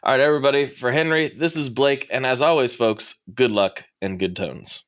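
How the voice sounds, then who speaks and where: A man's voice: medium pitch, moderate pace, a bit bright. A man hosting a guitar podcast, chatting casually and laughing easily. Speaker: podcast host. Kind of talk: casual chat.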